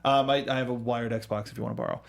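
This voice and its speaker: A man's voice: medium pitch, fairly even intonation, fast, creaky. A man co-hosting a casual talk show, chatting in stop-start bursts with repeated words and slipping into mimicked quotes as he tells things. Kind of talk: casual film and video game discussion.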